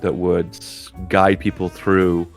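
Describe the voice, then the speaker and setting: Podcast co-host, man in his thirties, low voice, relaxed self-deprecating chat, faint music under. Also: slow, creaky. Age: 30s.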